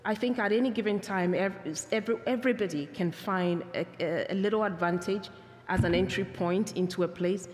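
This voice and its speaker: A woman's voice: medium pitch, fairly quick. A woman from Ghana with a Ghanaian accent, giving an earnest, reflective talk on peacebuilding and answering questions, often restarting her phrases. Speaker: peacebuilding speaker. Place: church hall. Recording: reverberant church hall.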